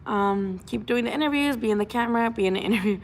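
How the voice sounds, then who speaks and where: A woman's voice: a little high, fast. Young woman, casual livestream rant, blunt, curses, faint background hum. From Mexico. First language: Spanish. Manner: chatty, frank, swears freely, many restarts. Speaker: boxing channel host.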